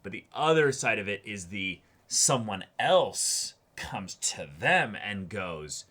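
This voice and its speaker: A man's voice: fairly low pitch, very animated, slow, pushed and bright. A man co-hosting a casual anime discussion, repeating phrases and acting out imagined quotes as he talks. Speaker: co-host of an anime reaction show.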